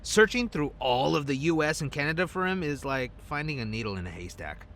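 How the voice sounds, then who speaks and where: A man playing a cocky, irreverent male agent who teases expressively in an audio drama. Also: a little fast. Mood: incredulous. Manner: teasing, expressive. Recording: faint rumbling background noise.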